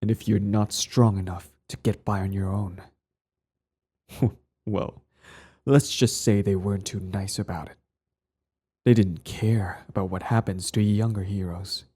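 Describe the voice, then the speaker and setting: A man playing a cold, sardonic villain with secret tenderness in an intimate ASMR roleplay, his voice low, husky and breathy. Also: steady tone, mellow. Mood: bitter, worried. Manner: slow deliberate delivery, sardonic, condescendingly affectionate. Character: cold, mocking male villain, protective ex-hero, secretly tender.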